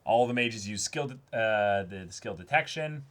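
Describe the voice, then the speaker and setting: A man's voice: fairly low pitch, expressive, moderate pace, fairly bright tone. A man co-hosting a casual read-along, reacting playfully and reading bits aloud. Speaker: co-host of a comic read-along.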